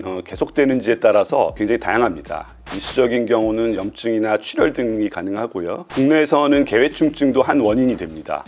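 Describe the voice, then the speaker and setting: A man, a pulmonology professor, explaining lung findings in a calm, methodical way, pausing now and then to think. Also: medium pitch. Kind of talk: explanatory medical lecture.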